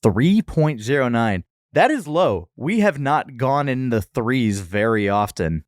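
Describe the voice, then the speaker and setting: Expressive man bantering on a podcast. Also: medium pitch, measured pace, dry recording, clean studio sound. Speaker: podcast co-host. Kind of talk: casual banter, restaurant review chat.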